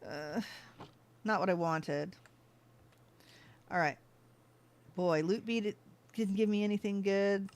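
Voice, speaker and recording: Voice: mid-range voice, level tone, takes it slowly, pauses often. A gaming channel host giving casual let's-play commentary, chatting to themselves and thinking aloud as they play.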